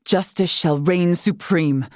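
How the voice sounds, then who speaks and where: A dignified female warrior-scholar from a video game, with a fairly low voice. She speaks in stately, old-fashioned, carefully formal phrasing. The recording is dry, with no room sound. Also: slow pace, darkish tone, no echo. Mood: grave, seething. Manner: stately, archaic formal diction. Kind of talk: scripted video game character lines.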